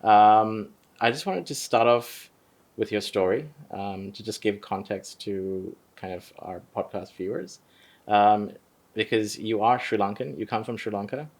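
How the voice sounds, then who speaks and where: A man's voice: lowish voice, unhurried. Hesitant male podcast host. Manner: hesitant, many "um"s and restarts. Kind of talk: podcast interview.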